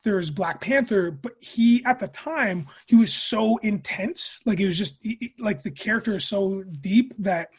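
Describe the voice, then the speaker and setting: A man's voice: high voice, unhurried, few pauses, slightly rough. A man chatting casually and enthusiastically as a guest on a podcast. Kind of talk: casual chat.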